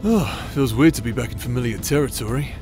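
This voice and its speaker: A man's voice: medium pitch, expressive, quick pace, a little mellow, close to an everyday voice. A man playing a stubborn, protective warrior in an audio roleplay drama, defiant and dramatic in his delivery. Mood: wry, knowing. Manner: impassioned, dramatic. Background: music.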